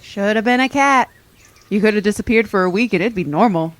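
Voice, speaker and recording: A woman's voice: medium pitch, brisk, close to an everyday voice. A woman playing a laid-back, skeptical friend on an adventure in an audio drama, with a casual, dry delivery. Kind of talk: scripted audio drama acting.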